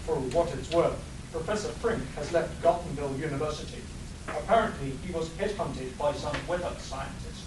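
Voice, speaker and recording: A man's voice: on the high side, normal speed, close to an everyday voice. A man playing a laid-back, jaded retired storm chaser in a comic radio play, with a flippant manner. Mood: casual. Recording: echoey noisy hall, distant mic.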